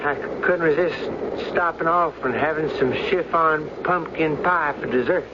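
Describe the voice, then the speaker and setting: An actor playing a wry, edgy, queasy airline co-pilot in a 1970s radio drama, talking in grumbling slang. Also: male voice, a little high, a little slow, natural voice. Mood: queasy, weakening. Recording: very noisy muffled old radio broadcast.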